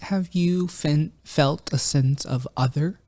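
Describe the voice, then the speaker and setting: A man interviewing a guest for an oral history project, asking short, calm questions. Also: on the high side, slow pace, no room sound. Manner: calm, prompting, brief questions.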